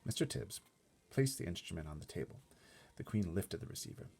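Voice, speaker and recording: A man's voice: deep voice, expressive, a little fast, creaky, warm tone, slightly rough. A man narrating a storybook aloud expressively, slipping into casual asides. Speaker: storybook reader.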